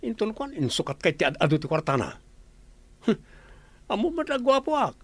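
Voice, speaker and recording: A man's voice: high-pitched, sing-song, brisk, a bit bright, slightly rough, dry recording, exaggerated voice. A cocky, vain lover played by a man boasts in an Ilocano Filipino accent, recorded dry and close. The delivery is money-minded comic radio drama, full of self-regard. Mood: smug, boastful. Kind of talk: comedy radio drama acting.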